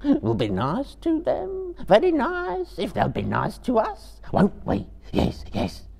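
A man voicing a wretched, hissing, sly and cringing creature with a raspy, creaky voice in a dramatic reading of a story. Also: very high voice, wildly swooping pitch, slow pace, a little mellow, pitched above the performer's own voice, heavily acted. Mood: cringing, pleading. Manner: whimpering and wheedling, broken grammar, repeats himself.

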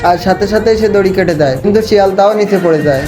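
A man's voice: sits high, very fast. A man narrating funny cartoon recaps in a slangy, mocking, exaggerated storytelling manner. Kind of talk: comic slangy scripted narration. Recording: voice-over with clear music behind.

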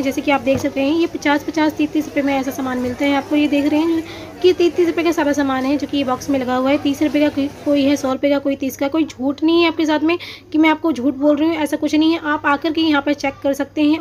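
A woman's voice: high-pitched, darkish tone, slight background noise. A woman shopping vlogger giving a walking tour of an outdoor street market, talking chattily to viewers about prices with many hesitations. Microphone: handheld phone, camera pointed at goods.

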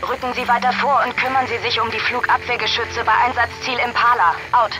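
An actress playing a calm military radio dispatcher who gives clipped orders through a radio filter. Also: female voice, on the high side, fairly quick, music in the background. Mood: businesslike. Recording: noisy radio transmission.

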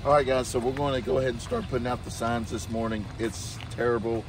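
A man's voice: medium pitch, steady tone. A man in his forties who has lost his voice, vlogging on a walk around a homesteading expo and talking to a phone held at arm's length, with many fillers. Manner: talks to camera while walking, halting with many fillers. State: hoarse, losing his voice. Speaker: homesteading vlogger and event emcee. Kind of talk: casual vlog walk-through. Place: outdoors at a fairground expo. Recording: noisy outdoor phone recording.

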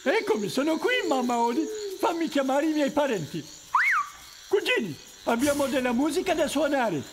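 A man voicing a cheery, eager little male firefly in an animated video game. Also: very high voice, normal speed. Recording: noisy swamp night ambience.

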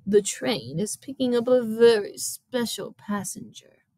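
An eerie storybook woman, acted out by a female reader, speaks with calm command. Her words come slowly and deliberately, in a close, dry sound. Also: fairly high pitch, dry recording. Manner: slow, deliberate.

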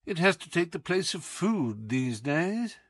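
A man's voice: a little high, very animated, measured pace, no room sound, studio quality, pitched above the performer's own voice, heavily acted. A man reading an audiobook as a whimsical, imperious detective with a British accent, theatrical, commanding and wry. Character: brilliant, whimsical, imperious male English detective.

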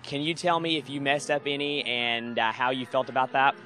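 A man conducting quick backstage interviews with performers at a convention, asking brisk questions and padding with fillers. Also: medium pitch, flat delivery, pushed and bright, faint music behind.

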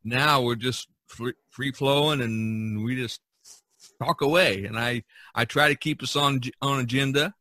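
A man with a bright, forward voice hosts a casual podcast. He talks haltingly, restarting phrases as he goes. The sound is dry and close to the mic. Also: mid-range voice, no room sound. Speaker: podcast host.

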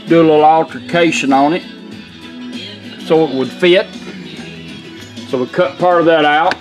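A middle-aged man giving a casual, plainspoken product demonstration at a workshop bench. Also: on the high side. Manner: folksy, plainspoken. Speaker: car radio restorer demonstrating his build. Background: radio playing music and talk.

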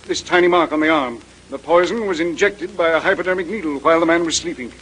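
An actor playing a grave, formal doctor in a 1930s radio mystery, speaking deliberately. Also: male voice, on the high side, fairly bright tone, lightly acted. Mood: grave, astonished. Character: authoritative male doctor, mature, grave and deliberate. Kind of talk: 1930s radio drama acting. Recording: noisy vintage 1930s radio recording.

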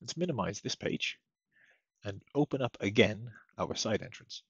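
A man giving a casual step-by-step software tutorial as a technical support presenter, recorded as a voice-over at his computer. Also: on the low side, expressive, moderate pace, breathy edge, no room sound. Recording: dull lo-fi close dry microphone.

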